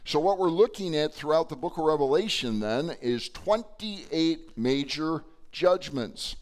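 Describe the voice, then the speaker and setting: A man preaching a sermon to his congregation, his voice slightly hoarse, talking in a conversational way with frequent fillers. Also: fairly high pitch, expressive, measured pace. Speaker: pastor. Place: church sanctuary.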